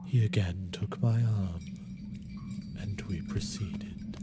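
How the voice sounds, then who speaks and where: Deep, slow man narrating gothic fiction. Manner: measured, formal. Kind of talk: dramatic gothic story narration. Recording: loud music behind the narration.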